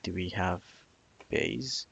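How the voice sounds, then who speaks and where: A man on an informal live coding stream, thinking aloud in a halting way, muttering to himself and repeating phrases. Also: low voice, gravelly, a bit bright, husky, highs cut off. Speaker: live streamer learning software.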